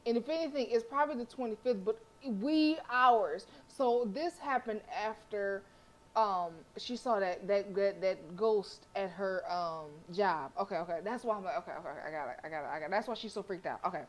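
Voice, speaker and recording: A woman's voice: medium pitch, a little fast. A woman livestreamer reading a visual novel aloud dramatically, with casual asides and stumbles she corrects as she goes.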